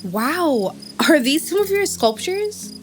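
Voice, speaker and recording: An earnest, awkward woman in an apocalyptic audio play, played by an actress. Her voice is high and swings widely in pitch, emotional and hesitant, with faint music behind. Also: very animated, slow, full character voice. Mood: shy, smitten. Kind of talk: audio drama acting.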